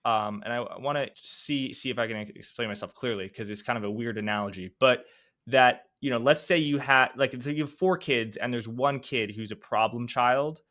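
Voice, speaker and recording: A man's voice: mid-range voice, normal speed, fairly bright tone. An American man hosting an interview, asking long, rambling questions with frequent restarts and self-corrections. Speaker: podcast host.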